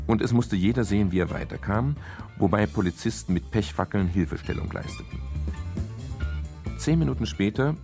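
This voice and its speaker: Deep, gravelly private detective. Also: male voice, a little mellow. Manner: measured, sober storytelling. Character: pensive, matter-of-fact private detective narrating his case. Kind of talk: scripted first-person radio crime narration.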